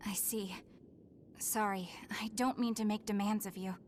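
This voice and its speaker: A woman's voice: mid-range voice, fairly even intonation, normal speed, a little mellow, slight background noise, close to an everyday voice. An actress playing a polite, earnest and determined young woman fighter in a video game, speaking sincerely. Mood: polite, resolved. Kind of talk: English video game dub acting.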